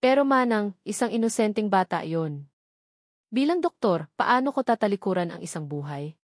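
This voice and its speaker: A woman's voice: mid-range voice, sing-song, normal speed, no room sound, studio quality. Woman voicing a hurt, guarded Filipina mother. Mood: torn.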